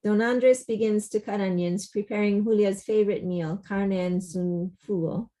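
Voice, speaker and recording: A woman narrates a play's stage directions at an online table read. Her voice is mellow and dark, set at a middling pitch, plainly scripted. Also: fairly even intonation, normal speed. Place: indoors, on a video call.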